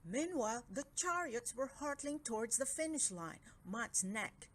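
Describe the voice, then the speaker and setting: A woman's voice: a little high, sing-song, unhurried. A woman narrating a children's story as a read-aloud. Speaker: storybook reader.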